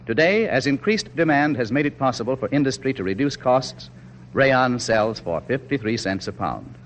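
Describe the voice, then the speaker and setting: A man's voice: medium pitch, expressive, moderate pace. An American man reading scripted 1940s radio sponsor narration in an emphatic, oratorical way. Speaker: commercial spokesman. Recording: dull, faintly noisy 1940s radio broadcast.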